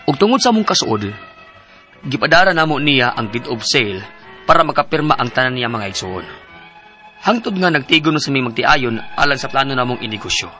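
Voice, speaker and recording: A man with a Cebuano Filipino accent acting an eager, trusting husband in a radio drama, animated and exclamatory. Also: medium pitch, brisk, faint music behind. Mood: earnest narrating. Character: eager, trusting husband and father, small investor.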